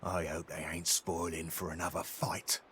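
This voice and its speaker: An actor playing a gruff, wary and puzzled henchman in a video game, with rough colloquial speech. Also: low-pitched, level tone, moderate pace, a bit bright, full character voice. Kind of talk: video game character acting.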